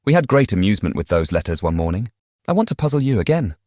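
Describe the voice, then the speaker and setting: Low, lively British young gentleman played by a man. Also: fast talker, dry recording, studio quality. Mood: playful, teasing. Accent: British English. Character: playful, charming young English gentleman. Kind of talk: dramatized classic novel audiobook.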